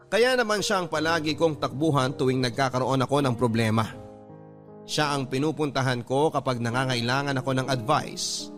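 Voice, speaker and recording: A man reads a listener's letter as a radio drama narrator. His voice sits at a medium pitch. Music plays faintly behind him. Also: a bit bright. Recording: background music under narration.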